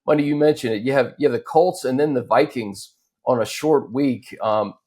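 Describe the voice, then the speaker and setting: A man's voice: medium pitch, moderate pace, a little mellow, slightly rough. An American man hosting a casual, unscripted sports talk show with his co-hosts. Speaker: host.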